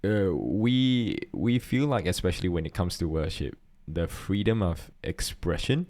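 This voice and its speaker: A middle-aged Singaporean man with a deep, low voice talks earnestly on a podcast. He thinks aloud, restarting phrases as he searches for the right word. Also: animated, a little slow, darkish tone. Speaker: podcast co-host. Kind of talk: casual chat.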